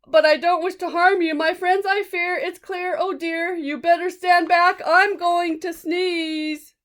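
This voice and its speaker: A woman voicing a big, thoughtful storybook elephant with a loud, emphatic delivery. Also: very high-pitched, level tone, unhurried, voice pushed up. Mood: apologetic warning. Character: big elephant warning friends he will sneeze, thoughtful.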